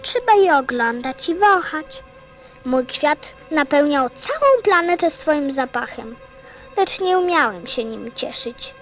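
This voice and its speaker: A woman's voice: sits high, very animated, slow pace, faint music behind, full character voice. A woman playing a sensitive, wistful young boy prince, earnest and childlike, in a radio drama. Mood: regretful, wistful.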